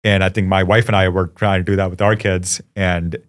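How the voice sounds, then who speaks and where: Thoughtful Indian-born man, low-voiced guest. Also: level tone. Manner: thoughtful, reflective, often pausing with "you know". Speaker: guest, startup founder. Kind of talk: casual interview conversation.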